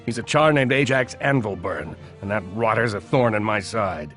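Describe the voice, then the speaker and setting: An actor voicing an embattled, grim military commander in a video game. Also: male voice, fairly low pitch, expressive, moderate pace, fairly bright tone. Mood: grim, resolute. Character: embattled, grateful male fortress military commander. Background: game music.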